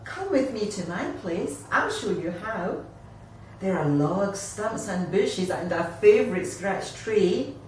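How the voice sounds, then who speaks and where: A woman's voice: medium pitch, very animated, takes it slowly. A woman voicing a kind female animal friend in a children's storybook, reassuring and encouraging. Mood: comforting. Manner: comforting, encouraging. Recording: echoey room, distant mic, faint noise.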